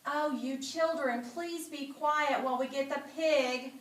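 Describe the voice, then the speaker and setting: A woman's voice: sits high, fairly even intonation, unhurried, a bit bright, pitched above the performer's own voice. A woman voicing an anxious, fussing parent in a storybook, cautioning children with emphatic repetition. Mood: exasperated. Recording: echoey room, distant mic.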